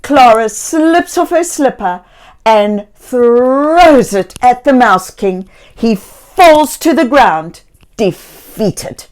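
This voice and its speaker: Slow, expressive woman reading a children's story. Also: a little high. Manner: theatrical, with hushed whispers and exclamations; addresses young listeners with gentle questions. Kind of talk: expressive picture-book read-aloud for young children.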